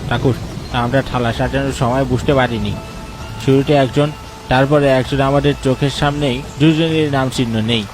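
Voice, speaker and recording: A man playing a worried, urgent village man in an animated horror story, speaking anxiously. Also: medium pitch, normal speed, over music, voice pushed up, natural voice. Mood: fearful.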